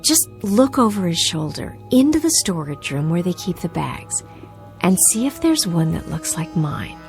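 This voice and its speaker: A woman's voice: on the low side, sing-song, moderate pace, a little mellow, faint music behind. An actress playing an anxious, polite woman traveler in an audio drama, hesitant and stammering.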